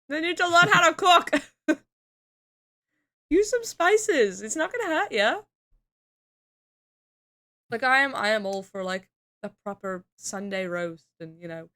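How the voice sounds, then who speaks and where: A young woman from the UK talking casually on a stream, with a bright, forceful tone that sits a little high. She laughs often and swears freely while reading chat aloud. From United Kingdom.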